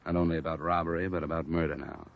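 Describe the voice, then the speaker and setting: A man's voice: a bass voice, little pitch movement, racing, creaky. A man playing a stern, world-weary insurance investigator with an American accent and clipped, pointed delivery, in a 1950s radio detective drama. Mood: hurt, accusing. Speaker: lead actor and narrator. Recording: muffled, hissy vintage radio recording.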